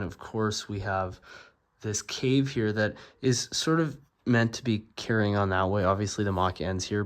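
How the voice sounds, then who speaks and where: Young man, congested, stuffed-up nose, casual rambling build narration, lowish voice. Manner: relaxed and rambling, frequent "obviously" and "uh". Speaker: LEGO builder and channel host.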